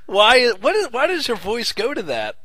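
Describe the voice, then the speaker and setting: A man's voice: very high-pitched, huge pitch swings, moderate pace, sharp tone, a little hiss or hum. A man co-hosting a casual sports podcast, laid-back and wry, teasing his partner. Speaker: podcast co-host.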